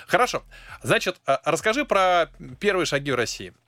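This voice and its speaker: A man hosting a radio interview show, introducing his guest in a brisk, joking way. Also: sits high, very animated, sharp tone, dry recording. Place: radio studio.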